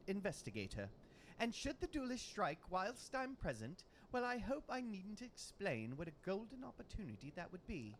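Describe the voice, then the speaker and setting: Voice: mid-range voice, a little hiss or hum, natural voice. A man voicing a prim, eloquent female reporter from a video game, with wordy, precise diction. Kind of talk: game dialogue read-aloud.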